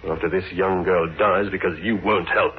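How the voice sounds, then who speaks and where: An actor playing a grave, earnest doctor in a 1960s radio drama, speaking formally and with measured, reproachful weight. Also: male voice, deep voice, fairly even intonation, a little hiss or hum, close to an everyday voice. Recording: thin, phone-like old radio recording.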